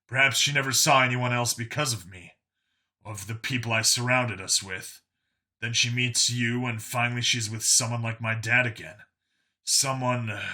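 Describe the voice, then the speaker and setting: Guy reading as tough enforcer man in an audiobook, blunt but earnest, real forceful crisp bright tone. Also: mid-range voice. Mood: reflective. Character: Vincent, earnest tough human enforcer, reformed bigot.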